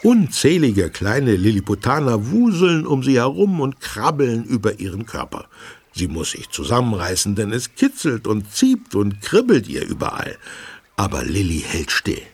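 A man narrating a children's story in an expressive, dramatic, husky voice. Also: fairly low pitch, moderate pace, heavily acted. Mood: vivid, suspenseful. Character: lively male storyteller.

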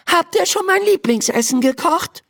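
A woman voicing a young girl in a children's story, high and youthful, exclamatory and emphatic. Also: animated, moderate pace, vocal fry, full character voice. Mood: bossy, pleased. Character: Laura, a young girl, alarmed then bossy in her dream.

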